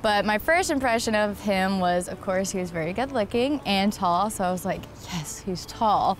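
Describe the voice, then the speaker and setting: A woman's voice: mid-range voice, expressive, normal speed. A young adult woman telling her own love story in a casual, personal way.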